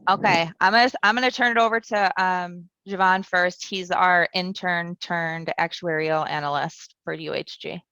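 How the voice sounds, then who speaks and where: A woman's voice: on the low side, fairly even intonation, normal speed, few pauses, pushed and bright, smooth, dry recording, poor audio. A woman moderating an online career fair panel, introducing the speakers with frequent hesitations.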